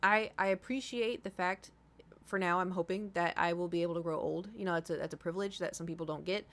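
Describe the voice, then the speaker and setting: A woman's voice: lowish voice, steady tone, quick pace, a bit bright. Rambling, casual woman streamer in her thirties. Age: 30s. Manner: informal, sweary, repeats herself for emphasis. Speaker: livestream host. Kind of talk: casual rambling chat to viewers.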